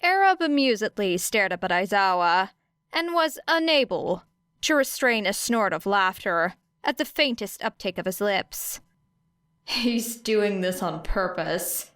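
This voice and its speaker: A woman's voice: mid-range voice, big pitch swings, moderate pace, a bit bright, clean tone, no room sound, clean studio sound. A woman narrating a story as a scripted audiobook reading.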